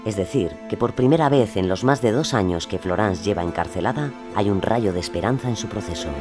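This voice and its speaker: A man's voice: lowish voice, brisk. A man delivering scripted documentary narration for an audio series. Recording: loud music under narration.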